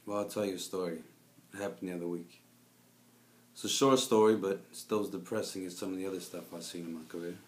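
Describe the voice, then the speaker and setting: A man's voice: low voice, steady tone, fast, roomy sound, natural voice. A man playing a cynical, world-weary private investigator, delivering a brooding noir monologue in a confiding, jaded manner. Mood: wry, weary. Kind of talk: scripted noir detective monologue.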